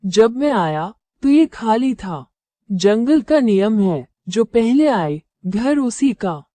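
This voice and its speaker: A woman voicing a smooth-talking male crow in a cartoon moral story, with a measured, matter-of-fact manner. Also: fairly high pitch, sing-song, warm tone, dry recording, pitched below the performer's own voice. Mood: sly, defiant.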